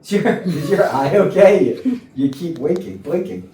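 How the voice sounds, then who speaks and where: A woman's voice: lowish voice, lively intonation, normal speed, dark tone, close to an everyday voice. A woman acting a lovelorn, oblivious male office worker, melodramatic and stammering, in a comedy staged reading. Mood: flustered, eager. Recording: noisy roomy rehearsal, far from mic.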